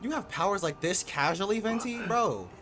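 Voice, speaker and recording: A man's voice: sits high, expressive, moderate pace, a crowd in the background. A young man giving casual gameplay commentary, slangy and reactive with mock outrage. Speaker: gaming video creator.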